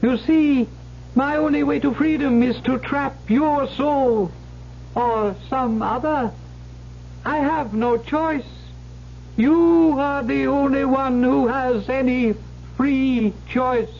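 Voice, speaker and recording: A woman playing a sly captive imp, a devil's apprentice, in a radio drama, speaking slowly, deliberately and formally. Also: high voice. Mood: coaxing, ominous. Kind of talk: 1970s radio drama acting. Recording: dull, noisy old radio recording with hum.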